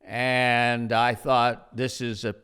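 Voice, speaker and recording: A man in his seventies hosting an unscripted interview podcast as a pastor, speaking in a deliberate, halting way with long pauses. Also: mid-range voice, flat delivery, fairly bright tone, clean tone. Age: 70s. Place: at a dining room table in a house.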